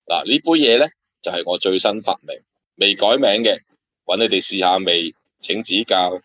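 Deep, easygoing bartender played by a man. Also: moderate pace, pushed and bright, lo-fi sound. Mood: jokey, friendly. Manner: jokey, good-natured. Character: easygoing macho bartender, good-natured with his customers. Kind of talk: Cantonese audio drama acting.

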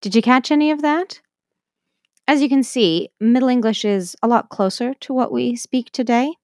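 A woman's voice: on the high side, normal speed, darkish tone, no room sound, studio quality. A woman with a Canadian accent hosting an educational podcast, narrating a script in an enthusiastic, conversational way. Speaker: host of an educational language podcast.

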